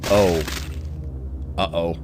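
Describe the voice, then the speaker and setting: A man narrating in the first person with an expressive, dramatic delivery. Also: low-pitched, drawn-out words, music in the background. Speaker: narrator of a dramatic reading series.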